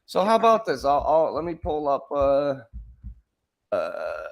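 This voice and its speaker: A man's voice: a little high, wildly swooping pitch, measured pace, vocal fry, dull audio. A man with a strong, powerful voice sitting in on a casual chat. He rambles eagerly through his stories, restarting his sentences as he goes. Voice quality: powerful singing voice. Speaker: guest singer.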